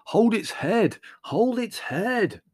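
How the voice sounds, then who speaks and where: A man voicing a hot-tempered, swearing young brother in an audiobook, blunt and shouting. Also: sits high, big pitch swings, slow pace, husky, dry recording, studio quality, voice pushed up, heavily acted. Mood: exasperated.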